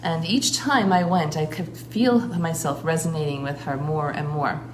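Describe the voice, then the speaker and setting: A woman who works as a shamanic priestess, talking earnestly in a flowing way and pausing often to find her words. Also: deep voice. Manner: earnest, flowing, with frequent filler pauses. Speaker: shamanic priestess and guest speaker. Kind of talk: casual spoken account. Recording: roomy recording with clear background noise.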